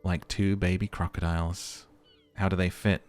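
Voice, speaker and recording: A man's voice: sits low, level tone, moderate pace, over music. A man hosting a let's play, mixing dramatic narration with casual commentary.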